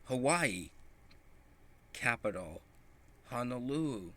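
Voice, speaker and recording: A man reading a children's picture book aloud in a halting, deliberate way, with small stumbles. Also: mid-range voice, animated, fairly bright tone. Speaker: storybook reader.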